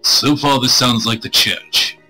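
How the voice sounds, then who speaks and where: A man streaming a visual novel, reading it aloud with crude, irreverent joking asides, in a husky voice. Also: medium pitch, fairly even intonation, measured pace, sharp tone, poor audio. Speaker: game streamer. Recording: music playing behind the voice.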